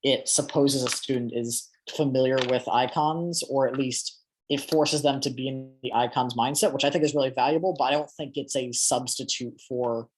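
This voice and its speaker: Male meeting participant, average pitch, thoughtful and opinionated, conversational and self-correcting. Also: fairly even intonation, moderate pace, slight background noise, rough recording. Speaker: interviewer in training meeting. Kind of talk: reflective meeting discussion.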